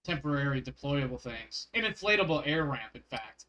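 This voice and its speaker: A man's voice: medium pitch, expressive, moderate pace, pushed and bright, low-quality recording. A man running a tabletop game, chatting casually and rambling off on tangents with frequent laughs. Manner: rambling, laughs often, self-mocking. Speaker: game master.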